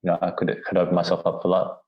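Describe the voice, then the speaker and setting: Very deep-voiced man, halting and candid. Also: monotone, muffled recording. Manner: candid, halting, repeats words. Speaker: podcast guest. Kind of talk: candid personal conversation.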